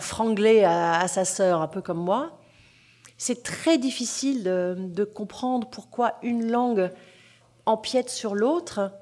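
A woman novelist speaking in an interview, with a mid-pitched voice. She is animated and self-deprecating, and often stops to restart her sentences. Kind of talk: interview conversation.

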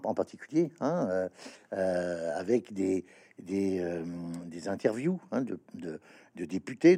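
A man hosting an interview, asking questions in a halting way with many stammered restarts and fillers. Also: on the low side, mellow. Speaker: interviewer, host. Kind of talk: interview questions, reading passages aloud.

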